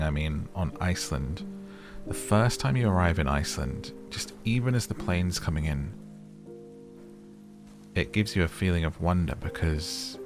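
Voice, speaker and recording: A man casually commenting on a relaxing game as he plays, chatty and easygoing, speaking straight to his viewers. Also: sits low. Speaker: gaming YouTuber. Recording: game music playing behind. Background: soft game music.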